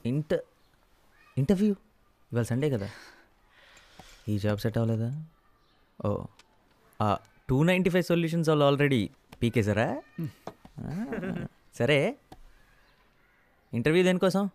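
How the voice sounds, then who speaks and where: An actor playing a lovesick young engineering student, candid and teasing, in naturalistic film dialogue with some English mixed in. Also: male voice, a little high, sing-song, a little slow, long pauses, a little mellow. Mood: teasing, surprised. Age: young adult. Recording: film soundtrack with music underneath.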